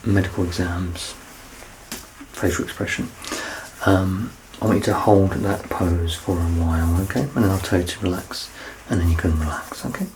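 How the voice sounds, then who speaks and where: Soft-spoken deep, creaky man, ASMR doctor. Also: normal speed. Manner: gentle, reassuring, self-deprecating, often near a whisper. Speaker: ASMR roleplayer playing a doctor. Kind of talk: soft-spoken ASMR medical exam roleplay. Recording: clear background noise and ambience.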